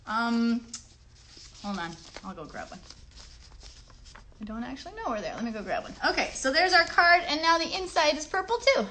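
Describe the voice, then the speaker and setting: Bright, high-voiced woman teaching kids crafts. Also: big pitch swings, moderate pace, long pauses, slight background noise. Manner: chatty, encouraging, often asks the viewers questions; simple child-directed wording. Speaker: children's craft livestream host. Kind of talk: step-by-step craft demonstration for children.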